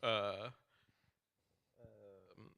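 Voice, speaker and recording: Halting low-voiced man searching for words. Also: level tone, sharp tone. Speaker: writer and translator. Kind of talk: casual conversation.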